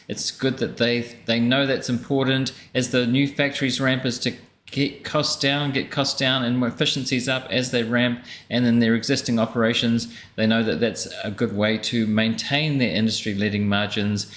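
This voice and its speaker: A man's voice: medium pitch, a bit bright. A man hosting a reaction show, giving casual, conversational commentary and repeating key points. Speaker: host reacting to earnings call clips. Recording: echoey room, clear background noise.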